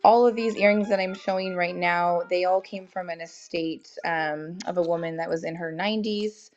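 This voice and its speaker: A woman's voice: on the low side, steady tone, moderate pace, a little mellow. A young woman with a cold and a tired voice, chatting casually as she runs a live auction and greets viewers by name. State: has a cold, voice wearing thin. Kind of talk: live-stream selling chat. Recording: dull lo-fi stream with faint music.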